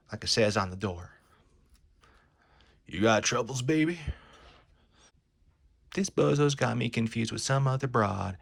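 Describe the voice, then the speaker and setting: A man's voice: mid-range voice, creaky, a bit bright, no room sound, exaggerated voice. Man putting on a brash, sassy carnival dame. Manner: sassy, curt, old-fashioned tough slang. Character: brash, slangy woman carnival fortune-teller.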